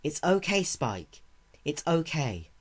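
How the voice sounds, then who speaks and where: A performer voicing a caring female pony in a cartoon-based story reading, soft, patient and reassuring like a big sister. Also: medium pitch, very animated, slow pace, slightly rough, voice pushed up, exaggerated voice. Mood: comforting.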